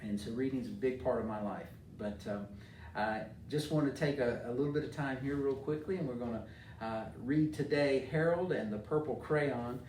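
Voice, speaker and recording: Middle-aged American man, children's story-time speaker, medium pitch, recorded at a distance with faint noise. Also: normal speed, darkish tone. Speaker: mayor reading to children. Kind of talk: informal talk and storybook read-aloud for children. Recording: roomy echo, distant mic, faint noise.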